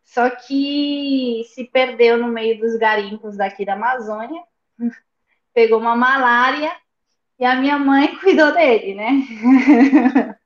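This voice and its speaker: A woman's voice: on the high side, slow, long pauses. Woman with a slight Argentine accent. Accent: slight Argentine Spanish. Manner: chatty, recounts family story with restarts. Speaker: guest. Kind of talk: casual storytelling interview. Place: remote call. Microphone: earphones with phone in front. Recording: echoey, dull remote-call audio.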